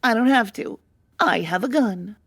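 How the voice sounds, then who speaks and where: Woman playing a lively, scheming murderous bride. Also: on the high side, normal speed, breathy edge, no echo, clean studio sound, exaggerated voice. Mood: cold menace. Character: scheming, cold-hearted murderous bride, feigning sweetness. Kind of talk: old-time radio comedy acting.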